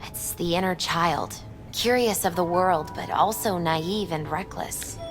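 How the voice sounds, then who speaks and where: A woman acting as an earnest young tarot reader in a video game, speaking in a measured, solemn, mystical manner. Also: on the low side, music in the background, lightly acted.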